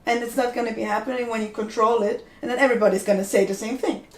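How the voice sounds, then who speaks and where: A woman's voice: a little high, fairly even intonation, fast talker, darkish tone. Young woman podcaster in an echoey room. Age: 20s. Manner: talks in restarts, earnest and opinionated. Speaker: podcast co-host. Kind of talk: casual discussion. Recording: roomy echo.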